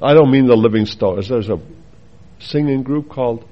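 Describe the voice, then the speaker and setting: A man's voice: mid-range voice, moderate pace, highs cut off, slight background noise. A man from the Faroe Islands with a Faroese accent, preaching a sermon earnestly, with asides and rhetorical questions to the congregation.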